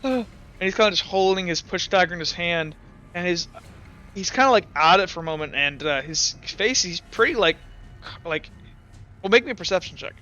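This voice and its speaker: A man's voice: high-pitched, expressive, brisk, bright, forceful tone, smooth. A young man narrating as game master of a tabletop game, rambling with lots of fillers. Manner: rambling, lots of likes and uhs. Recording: dull lo-fi mic.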